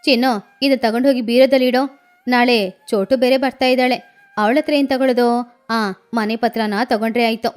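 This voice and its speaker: A woman's voice: a little high, steady tone, normal speed, clear, resonant tone, natural voice. Lady doing a bossy greedy mama crow, cartoon moneylender, music behind. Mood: smug, scornful. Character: greedy, hard-hearted female crow moneylender, a mother. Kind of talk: children's cartoon story.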